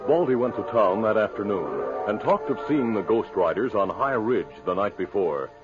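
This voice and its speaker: A man's voice: lowish voice, lively intonation, moderate pace. A man narrating a scripted 1950s radio western as its storyteller. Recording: muffled old radio broadcast. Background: music.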